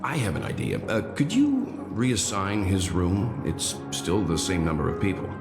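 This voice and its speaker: Deep, calm gentlemanly traveler. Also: male voice. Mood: calm, thoughtful. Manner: measured, diplomatic. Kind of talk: video game voice acting. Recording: roomy game audio with music.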